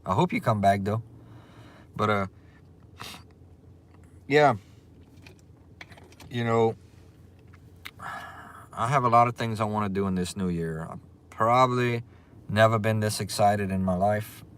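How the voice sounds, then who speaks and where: A man with a thick Cajun accent hosts a laid-back livestream, chatting easily and answering viewers as their comments roll in. His voice sits a little low for a man, loose and unhurried, with a friendly Southern drawl. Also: clean tone. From Louisiana. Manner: relaxed, chatty, reads and greets viewers' comments. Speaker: livestream host. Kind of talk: casual livestream chat.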